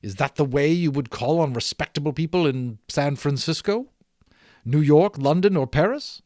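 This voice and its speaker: A man voicing an elegant, fussy but cordial gentleman in a storybook, speaking in a courtly, insistent, formal way. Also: on the high side, moderate pace, no room sound, clean studio sound, pitched above the performer's own voice, natural voice. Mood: chiding. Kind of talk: storybook read-aloud character voice.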